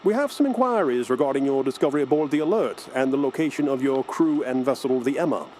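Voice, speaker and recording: An actor playing a polite, official naval commander in a radio drama, with formal, courteous speech. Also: male voice, medium pitch, expressive, quick pace, darkish tone, a little hiss or hum, lightly acted. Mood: courteous, businesslike.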